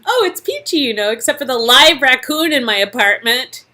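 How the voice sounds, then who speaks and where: Woman playing a bright, sarcastic young woman. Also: high voice, lively intonation, moderate pace, pitched above the performer's own voice. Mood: wry. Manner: wry, exclamatory. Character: sarcastic young woman, an aspiring actress from Iowa.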